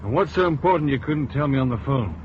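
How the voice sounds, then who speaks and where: An actor playing a dry, sardonic police chief inspector in an old radio drama. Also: male voice, medium pitch, lively intonation, quick pace, close to an everyday voice. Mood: curt. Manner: curt, dry, understated. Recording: dull old radio broadcast with faint noise.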